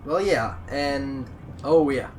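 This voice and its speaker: A man's voice: medium pitch, lively intonation, takes it slowly. A man giving chatty, explanatory commentary as co-host of a casual let's play. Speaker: gaming channel co-host.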